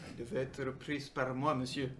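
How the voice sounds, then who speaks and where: A man's voice: medium pitch, little pitch movement, moderate pace, background noise, close to an everyday voice. A man playing a stiff, haughty foreign guest. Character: haughty foreign hotel guest, stiffly polite male stranger.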